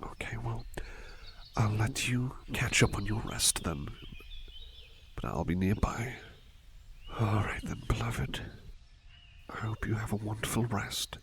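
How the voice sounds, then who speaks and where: A man playing a devoted, fussing servant in a soft-spoken ASMR roleplay, gentle and deferential, hesitating and repeating his reassurances. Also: on the low side, a little slow, pauses often, noisy background. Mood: caring, soothing. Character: devoted, fussing male servant tending a sick master. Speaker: roleplay performer.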